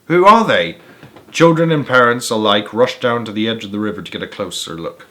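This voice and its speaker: A man's voice: lowish voice, expressive, fast talker, sharp tone. Dramatic male children's storyteller. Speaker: storyteller, host of a read-aloud series. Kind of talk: children's audiobook-style narration.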